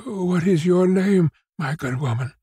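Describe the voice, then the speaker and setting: A man's voice: sits high, measured pace, mellow, breathy edge, no room sound, clean studio sound, pitched above the performer's own voice, exaggerated voice. A man voicing a bewildered, despairing, ragged old man with faltering, plaintive exclamations in a storybook reading. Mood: anxious, faltering.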